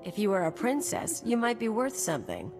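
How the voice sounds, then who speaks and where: A woman's voice: a little high, huge pitch swings, a little fast. A woman playing a brash, scheming, vindictive mercenary in a video game, with a cocky, sardonic, slangy delivery. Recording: long reverb, music behind.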